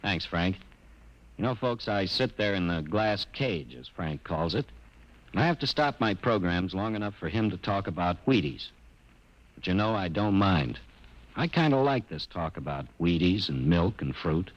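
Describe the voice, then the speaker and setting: A man's voice: low-pitched, lively intonation, normal speed. A man reading a commercial in an easygoing, folksy manner on old radio. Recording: dull old radio transfer.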